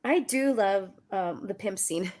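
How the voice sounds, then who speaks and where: A woman's voice: mid-range voice, measured pace. A woman author talking about her memoir, a little congested from a cold, telling stories with frequent laughs. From Southern California. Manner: laughs often, warm and storytelling. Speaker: author guest. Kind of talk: conversational book talk.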